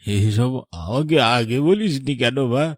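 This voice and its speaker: A man playing a troubled older father of a married daughter in an animated melodrama, fretful and questioning. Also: mid-range voice, unhurried, dry recording, clean studio sound. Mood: worried, exasperated.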